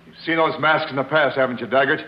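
An actor playing a steady, resolute young avenger with an American accent in a 1950s radio adventure. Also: male voice, medium pitch, fast, heavy background noise, close to an everyday voice. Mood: defiant. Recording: dull 1950s radio recording, music behind.

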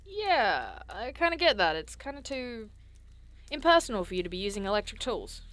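A woman's voice: on the high side, big pitch swings, moderate pace, fairly bright tone, no room sound. A woman playing an eager, easygoing young artist in an audio drama, casual and a little hesitant. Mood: eager, admiring.

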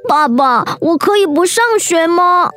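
A woman's voice: very high voice, expressive, measured pace, a bit bright, soft background music, pitched above the performer's own voice, full character voice. A woman voicing a small, sensitive kindergarten boy in a children's story, speaking in a simple, earnest, childlike way. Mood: downcast.

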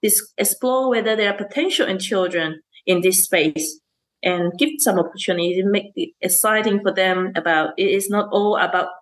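A woman's voice: mid-range voice, normal speed. A woman, a teacher answering interview questions in a hesitant way, with many fillers and restarts and frequent laughs. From Malaysia. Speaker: STEM teacher and councillor.

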